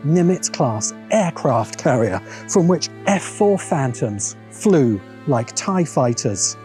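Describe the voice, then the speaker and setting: Mellow, higher-pitched British man narrating. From Britain. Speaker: video essayist, host. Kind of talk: scripted narration of a political film essay. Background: background music.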